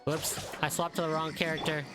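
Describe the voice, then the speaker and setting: A man's voice: on the high side, level tone, brisk, over music. A young man giving casual, off-the-cuff reaction commentary over a video game. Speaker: gaming video creator.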